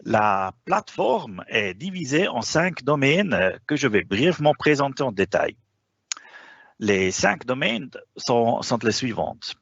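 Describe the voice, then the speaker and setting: A man's voice: medium pitch, sing-song, slow, vocal fry, a bit bright, husky, no room sound, lo-fi sound. A man whose first language is not French, presenting a formal webinar with frequent hesitations. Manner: hesitant, frequent "euh" fillers.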